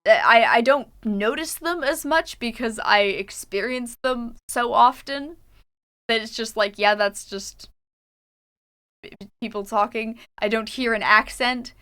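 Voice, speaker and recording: A woman's voice: fairly high pitch, unhurried, bright, forceful tone, clean tone. A young adult Canadian woman streaming a game, chatting casually and reading her journal entries aloud. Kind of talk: casual livestream chat while gaming.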